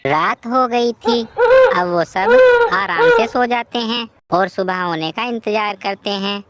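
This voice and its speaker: Slow-paced woman storyteller. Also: a little high, animated, creaky, very noisy. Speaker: story narrator. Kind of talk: scripted children's cartoon story narration.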